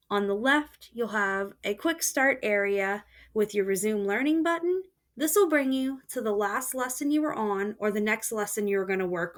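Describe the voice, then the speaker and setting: A woman giving a step-by-step voice-over tutorial of a language learning website. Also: mid-range voice. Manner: steady explanatory voice-over. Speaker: student presenter. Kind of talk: screen-recorded tutorial walkthrough.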